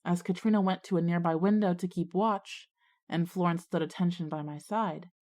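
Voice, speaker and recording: Low-voiced schoolgirl leader played by a woman. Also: fairly even intonation, moderate pace, a little mellow, lightly acted. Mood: suspenseful narration. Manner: decisive, organizing. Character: resourceful, take-charge schoolgirl narrator and group leader. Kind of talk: audio drama dialogue and first-person narration.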